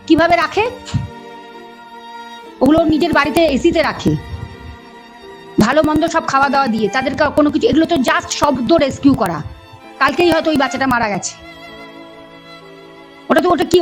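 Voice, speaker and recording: A woman speaking defensively and insistently in a heated phone conversation. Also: high voice. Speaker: animal rescuer. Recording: phone call recording with music underneath.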